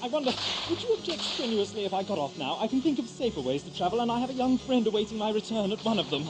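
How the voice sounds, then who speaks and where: A man playing an eccentric, quick-witted, wry adventurer in an audio drama, wordy and politely flippant. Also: high-pitched, big pitch swings, loud music behind the voice, poor audio. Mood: courteous, wry.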